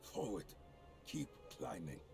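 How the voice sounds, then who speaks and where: Deep gravelly warrior guy from a fantasy game, a man putting it on, grave n hushed, solemn, determined. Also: big pitch swings, slow pace, soft background music, exaggerated voice. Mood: grim urgency. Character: grave, determined male warrior urging a companion onward.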